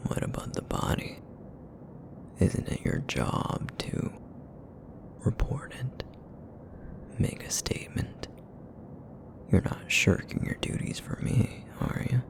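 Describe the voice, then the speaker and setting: A man voicing a broody, feral essence eater in an ASMR roleplay, with a raspy, rumbling murmur and a weary, guarded, reluctant manner. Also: wildly swooping pitch, full character voice. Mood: reluctant, grumbling. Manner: brooding, reluctant, slow and terse.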